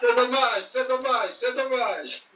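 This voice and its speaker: A Brazilian man speaking French as a learner, hesitant and halting. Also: very high-pitched, bright, forceful tone, roomy sound, distant mic. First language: Portuguese. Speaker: language learner.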